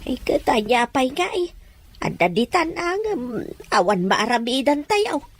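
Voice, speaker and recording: A caring, outspoken old mother, acted by a woman, speaking dramatic lines in a radio play. Her voice is fairly high for a woman. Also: sing-song, measured pace, breathy edge, no echo, full character voice. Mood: sympathetic.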